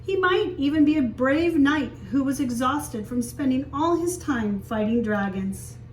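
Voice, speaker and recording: A woman reading a picture book aloud as a story time teacher, expressively, pausing to explain and ask young children simple questions. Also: sits high, lively intonation, a little mellow. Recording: echoey room, distant mic, faint hiss.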